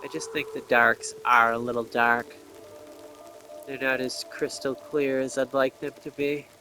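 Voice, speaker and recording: A man's voice: medium pitch, steady tone, measured pace. A man giving casual, off-the-cuff gameplay commentary, thinking aloud and muttering to himself as he plays. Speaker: gameplay streamer. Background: faint game music.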